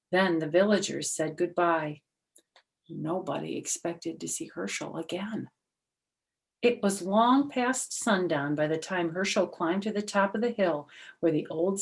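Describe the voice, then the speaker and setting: A woman with a fairly low voice leads a read-aloud storytime. She tells things simply, as if to young children, and slips in chatty explanations. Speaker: storyteller reading a picture book aloud, former school teacher.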